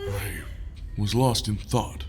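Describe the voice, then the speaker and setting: An actor playing a brooding, guilt-ridden reclusive scientist in an audio drama, weary, introspective and terse. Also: male voice, lowish voice, slow pace. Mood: guarded. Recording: loud music behind the voice.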